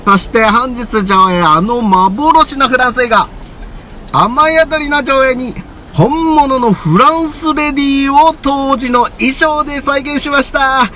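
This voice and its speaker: A man's voice: very high voice, takes it slowly, sharp tone, far from the mic, slight background noise, heavily acted. A man playing a showy bus tour guide announcing to a group in a radio drama.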